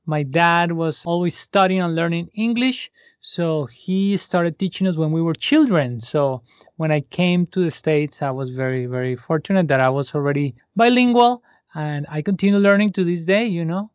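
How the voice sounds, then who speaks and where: A man's voice: on the high side, no echo, studio quality. A man from Colombia with a Colombian accent, a musician talking as an interview guest, friendly and slipping into Spanish phrases. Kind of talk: interview conversation.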